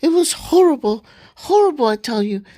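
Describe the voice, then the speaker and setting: Man doing a frantic woman explorer for radio drama: shrill, loud falsetto, panting, dry close sound. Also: lively intonation, normal speed, no room sound. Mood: distraught.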